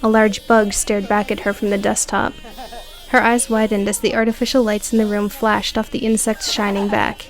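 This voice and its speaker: Creaky-voiced woman narrating fiction. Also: medium pitch, little pitch movement, moderate pace. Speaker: audiobook narrator. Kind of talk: scripted dramatic narration of a prose story.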